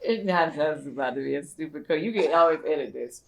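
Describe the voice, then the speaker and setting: Deep-voiced, blunt woman guest. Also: fairly quick, creaky. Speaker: guest and brand founder. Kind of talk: casual chat.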